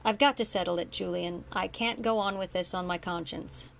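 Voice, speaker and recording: A woman's voice: on the low side, fairly even intonation, normal speed, creaky, slight background noise. A woman reading as a remorseful, pleading older father. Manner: pleading, then firm and impatient. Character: remorseful older father seeking forgiveness, self-assured.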